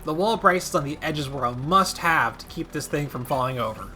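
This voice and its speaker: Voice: mid-range voice, lively intonation, moderate pace, sharp tone, slight background noise. A video game commentator narrating a scripted gaming video with wry, playful asides.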